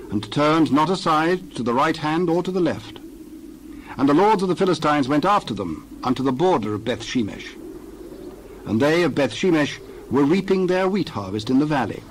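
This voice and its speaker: A man giving a dramatized Bible reading in a steady, formal way. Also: medium pitch, slight background noise, rough recording. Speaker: Bible reader.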